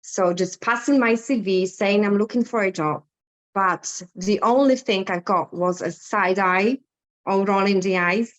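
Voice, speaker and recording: A woman's voice: medium pitch, a little slow, muffled recording. A woman in her thirties from Poland with a Polish accent, telling her story candidly as a guest on a video call. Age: 30s. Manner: chatty, candid storytelling with fillers. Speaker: guest artist. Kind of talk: casual interview on a video call.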